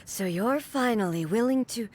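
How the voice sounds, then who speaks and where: An actress playing a proud, solemn warrior goddess in a video game, speaking in a formal, stately, deliberate way. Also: female voice, on the high side, lively intonation, darkish tone. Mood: resolute. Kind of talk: scripted dramatic video game acting. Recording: game audio with background music.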